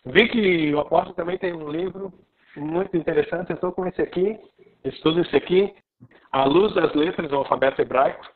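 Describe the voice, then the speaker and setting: A pastor from southern Brazil with a Gaúcho accent, teaching with excitement in a live video call conversation. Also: male voice, a little high, a little fast. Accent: Gaúcho Brazilian Portuguese. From Rio Grande do Sul, Brazil. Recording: tinny phone-like line.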